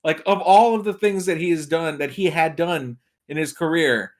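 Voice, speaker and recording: Male podcast guest, fairly high voice, informal and emphatic, with frequent asides. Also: moderate pace. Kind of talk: casual gaming podcast discussion.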